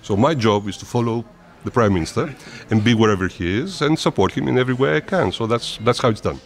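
A man answering interview questions at length in a measured, formal way, often restarting his phrases. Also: mid-range voice, animated. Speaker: interviewed diplomat.

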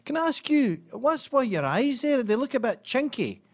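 A man's voice: very high voice, very animated, normal speed, pitched above the performer's own voice, full character voice. A man putting on a weepy Glaswegian pub drunk. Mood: probing. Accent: Glasgow.

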